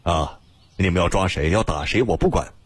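Man playing a haughty young gentleman, low husky voice. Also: expressive, a little fast, no echo. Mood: haughty, irritated. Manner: haughty, sardonic. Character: proud, sardonic young gentleman of a rich house.

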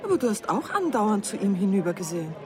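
An actress as animated, teasing middle-aged woman. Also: medium pitch, fast, natural voice. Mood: suspicious. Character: Desirée, observant, teasing middle-aged lover on holiday. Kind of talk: radio drama acting. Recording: busy casino crowd behind. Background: casino crowd.

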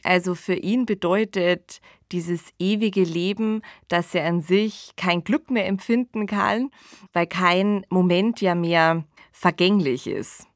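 A woman's voice: on the low side, slow pace, no echo, clean studio sound. A woman hosting a podcast, talking in a conversational, discursive style. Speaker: podcast host.

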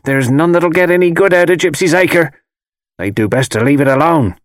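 A man's voice: on the high side, moderate pace, no echo, clean studio sound, voice pushed up, close to an everyday voice. A man voicing a malicious, wheedling old woman who tells fortunes, with rustic dialect speech and ominous insistence, in an audiobook. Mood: ominous, gloating. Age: older. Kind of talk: dramatised audiobook character voice.

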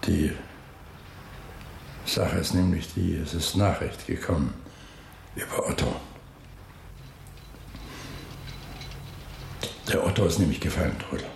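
An actor playing a weary, guarded old working-class father in a radio drama, speaking plainly and heavily with a gravelly voice. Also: very deep voice, moderate pace, long pauses, heavily acted. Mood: halting, heavy. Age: older. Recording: roomy, with noisy ambience behind.

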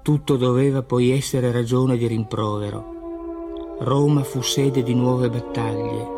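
A man giving a solemn poetry recitation, declaimed in measured short phrases. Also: medium pitch, fairly even intonation. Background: music.

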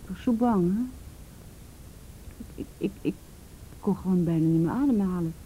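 A woman's voice: lowish voice, normal speed, mellow, slight background noise, natural voice, low-quality recording. An actress playing a frightened teenage girl in a Dutch radio play, stammering and repeating words as she recounts painful memories. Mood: shaken, subdued.

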